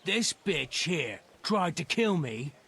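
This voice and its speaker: An actor playing an angry, vindictive, accusing medieval villager in a video game. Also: male voice, a little high, very animated, takes it slowly, a bit bright, slightly rough, exaggerated voice. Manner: hostile, accusing. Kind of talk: video game cutscene acting.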